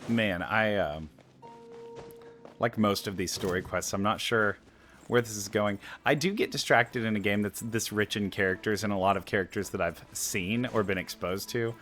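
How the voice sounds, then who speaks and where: A man's voice: lowish voice, normal speed, fairly bright tone, a little hiss or hum. A man giving casual, rambling let's play commentary, musing aloud as he reacts.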